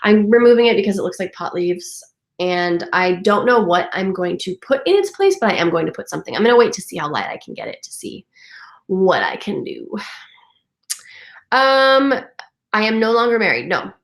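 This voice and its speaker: A young woman talks in a chatty, upbeat way, her voice congested from a cold. She speaks at an ordinary pitch and fills her talk with little fillers. Her voice rings in an echoey room at home. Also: big pitch swings, moderate pace. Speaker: vintage lifestyle vlogger. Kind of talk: casual live chat answering comments.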